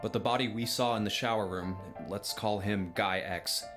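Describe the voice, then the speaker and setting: A man's voice: fairly low pitch, steady tone, normal speed, lightly acted. An actor playing a determined young man who reasons out an accusation step by step in a visual novel game, with music behind him. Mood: explaining, serious. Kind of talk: dramatic visual novel dialogue acting. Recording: game audio under prominent music.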